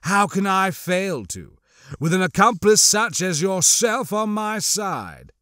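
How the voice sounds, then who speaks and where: A man playing a cold, scheming crime lord in an audiobook, mocking and disdainful, with menacingly measured delivery. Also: high-pitched, expressive, bright, forceful tone, no room sound, clean studio sound, voice pushed up, heavily acted. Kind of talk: audiobook character acting.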